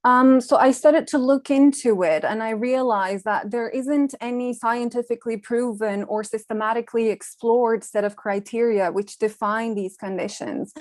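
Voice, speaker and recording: Young woman talking design research, measured, lots of ums, dry close sound. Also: on the high side, dry recording. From Iran. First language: Farsi. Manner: measured, thoughtful, with frequent ums and uhs. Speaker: panel speaker, design researcher. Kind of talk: reflective conference talk.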